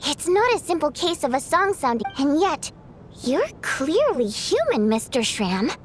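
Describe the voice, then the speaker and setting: A lively water imp performer. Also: female voice, high-pitched, faint music behind. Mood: curious. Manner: lively, teasing, quick to protest. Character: playful female water imp stage performer. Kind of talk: video game voice acting.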